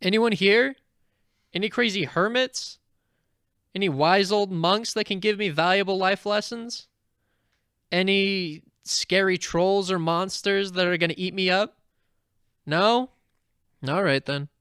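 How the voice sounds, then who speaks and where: A man's voice: high voice, unhurried, long pauses, a bit bright, smooth, no echo, studio quality. A man voicing an anxious but determined, sarcastic teenage girl adventurer who talks to herself in an audio fan fiction. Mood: calling out, sarcastic. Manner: wry, talking to self.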